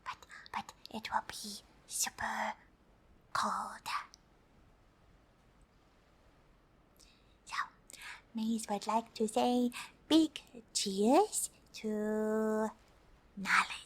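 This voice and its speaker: A woman's voice: medium pitch, extremely slow, full character voice. Woman as a whispering, friendly little alien. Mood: excited, gentle. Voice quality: soft breathy whisper. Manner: hesitant, formal, third-person self-reference with frequent uh. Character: friendly, excitable pink female alien, naively formal about humans. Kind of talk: whispered ASMR roleplay.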